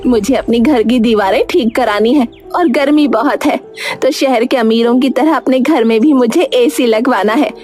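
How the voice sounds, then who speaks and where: Greedy old village sorceress, played by a woman: commanding, scheming, high-voiced, cartoon story with music bed. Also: normal speed, a little mellow, close to an everyday voice. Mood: bossy. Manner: commanding, demanding.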